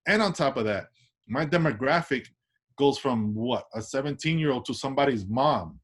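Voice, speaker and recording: A man's voice: mid-range voice, lively intonation, normal speed. A man from Washington Heights with a New York accent, an artist chatting casually in an interview, loose and full of restarts and tag questions. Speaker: artist guest.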